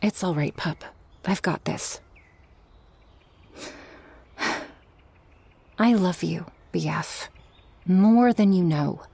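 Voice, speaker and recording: A woman playing a guarded but loving shark girl in an ASMR roleplay, speaking softly and intimately with frequent sighs. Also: fairly low pitch, extremely slow, long pauses, heavily acted. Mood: resigned. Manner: soft, breathy, intimate, often sighing. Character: guarded, loving shark girl, former freak show performer.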